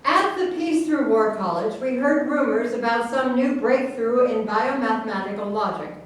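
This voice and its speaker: Lady acting formal exasperated army general in a stage satire, a bit high pitched, big echo with faint noise. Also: animated, normal speed, lightly acted. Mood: official. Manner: formal, mediating. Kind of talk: staged reading of a satirical play. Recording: very echoey, noisy room, distant mic.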